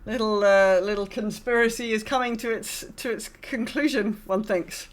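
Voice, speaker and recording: A woman with a mid-pitched voice talks casually as a podcast co-host. She is wry and informal, and her phrasing is hesitant. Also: steady tone, moderate pace. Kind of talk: casual gaming podcast chat.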